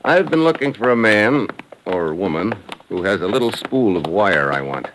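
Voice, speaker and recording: Deep-voiced, wry tough-guy investigator. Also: normal speed. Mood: cool, assured. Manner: laconic, deadpan. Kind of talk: 1950s radio drama acting. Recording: noisy old radio recording.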